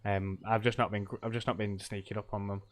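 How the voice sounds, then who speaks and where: A man's voice: lowish voice, little pitch movement, brisk. A middle-aged man, stuffy from a cold, chatting casually and rambling with lots of ums and laughs while live-streaming a game.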